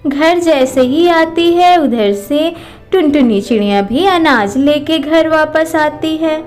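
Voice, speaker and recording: High pitched lady telling a kids story, really slow, light music under it. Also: darkish tone. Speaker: narrator. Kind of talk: scripted children's story narration.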